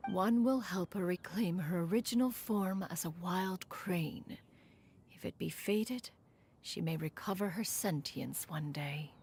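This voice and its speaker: A woman voicing a dignified, aloof immortal crane mentor in a video game, speaking in formal, archaic, measured phrases. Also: fairly low pitch, darkish tone, slight background noise. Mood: measured, wise. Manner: formal, archaic, measured, calls herself one.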